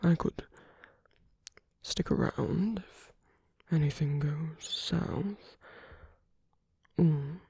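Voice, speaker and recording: Woman acting a breathy, husky flirtatious villain, half-whispering. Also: low voice, extremely slow, pauses often, mellow, no room sound, clean studio sound, exaggerated voice. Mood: flirtatious, coaxing. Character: flirtatious villain, teasing and tender toward an enemy. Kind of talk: intimate ASMR roleplay acting.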